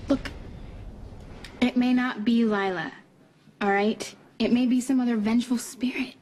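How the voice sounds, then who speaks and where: High-pitched, insistent young American woman acting. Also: steady tone, unhurried, a little mellow, a little hiss or hum, lightly acted. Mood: urgent, insistent. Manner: insistent, pleading, impassioned. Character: headstrong young woman set on defending her great-grandmother's honor. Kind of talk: soap opera acting. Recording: dramatic music behind the dialogue.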